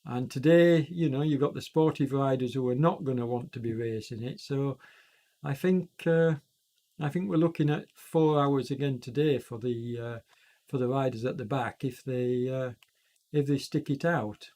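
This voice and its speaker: A man's voice: medium pitch, normal speed, mellow. Congested man commentating a virtual bike race. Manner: rambling, self-correcting, many fillers. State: congested with a chest cold. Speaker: e-racing commentator and streamer.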